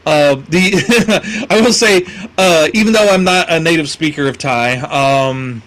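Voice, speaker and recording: A man's voice: a little high, a little slow, a bit bright. A man co-hosting a film podcast, talking casually with lots of fillers and restarts and frequent laughs. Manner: rambling, many fillers and restarts, laughs often. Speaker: podcast co-host.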